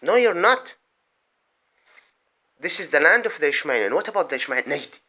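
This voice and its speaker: A man delivering an impassioned, rambling political monologue, breaking off mid-sentence and swinging between loud outbursts and muttered asides. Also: a little high, big pitch swings, brisk. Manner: rambling, emphatic, often breaks off and restarts. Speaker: vlogger.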